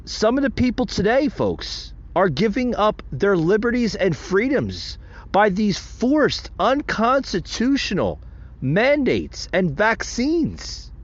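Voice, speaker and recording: Man, podcast host, impassioned solo commentary, high lively voice, muffled lo-fi recording. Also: slow. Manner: impassioned, emphatic, addresses listeners as folks.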